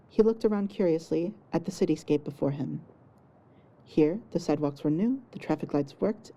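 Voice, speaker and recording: A woman's voice: sits low, dark tone. A woman reading scripted fiction narration as the storyteller of an audio story.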